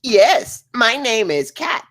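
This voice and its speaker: Woman playing a slow, swooping cheeky ship's computer. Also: a little high, sing-song, fairly bright tone, heavily acted. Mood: cheeky, officious. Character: deadpan, cheeky ship's computer named Cat. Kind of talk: acted table read of a comedy film script.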